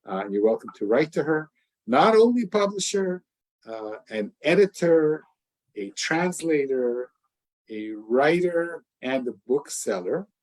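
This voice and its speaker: Effusive, halting older man interviewer. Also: a little high, big pitch swings, low-quality recording. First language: English. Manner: warm, effusive, halting with many restarts. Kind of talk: casual interview.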